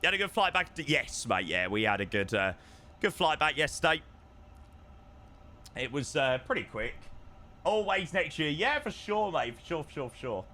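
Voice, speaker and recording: Animated man chatting on stream. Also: on the high side, normal speed. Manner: chatty, animated storytelling, reads chat aloud. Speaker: flight sim streamer. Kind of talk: casual live-stream chat.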